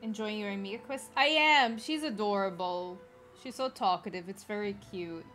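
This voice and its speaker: A woman's voice: medium pitch, normal speed, a bit bright, soft background music. A woman from Belgium with a Flemish accent, whose first language is Dutch, chatting casually with her live-stream viewers and greeting them as she plays.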